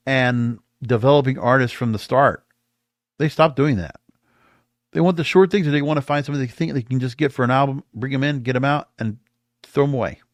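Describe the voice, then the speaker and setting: A man's voice: mid-range voice, dry recording, studio quality. A man hosting a podcast, giving opinionated music industry commentary in a conversational, emphatic way, sometimes reading aloud. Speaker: podcast host.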